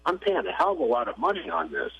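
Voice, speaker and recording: A man's voice: high voice, wildly swooping pitch, fast, vocal fry, fairly bright tone, low-quality recording. A man co-hosting a radio talk show, speaking in a conversational, skeptical way with many hesitations. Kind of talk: casual radio chat. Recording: AM radio broadcast.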